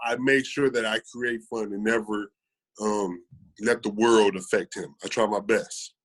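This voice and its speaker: A man's voice: on the low side, steady tone, a little slow. An American man in his thirties talking casually and earnestly as a podcast guest, often repeating phrases for emphasis. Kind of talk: casual conversational storytelling.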